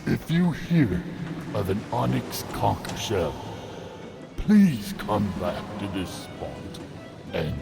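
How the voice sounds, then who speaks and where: A man voicing a huge ancient dragon turtle in halting, drawn-out phrases, pleading, in a fantasy audio drama. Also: fairly low pitch, big pitch swings, creaky, loud music behind the voice, exaggerated voice. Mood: weary, pleading.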